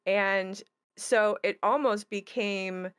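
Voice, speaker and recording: A woman with a clear, resonant voice at a middling pitch. She chats casually about creative work in a wry, good-humoured way. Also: fairly even intonation, slow. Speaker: game creator discussing her project. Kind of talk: casual discussion.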